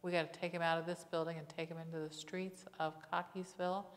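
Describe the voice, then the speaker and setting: A woman's voice: on the low side, little pitch movement, fast. A middle-aged woman pastor preaching in a church on a lapel mic, conversational, with frequent rhetorical questions. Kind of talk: sermon. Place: church sanctuary. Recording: long church reverb.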